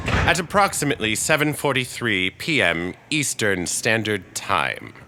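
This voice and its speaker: An actor playing a reserved, scholarly male astronomy professor in a radio drama, speaking in a halting, deliberate, formal way. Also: lowish voice, sharp tone, a little hiss or hum, heavily acted. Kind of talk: radio drama acting in 1938 broadcast style.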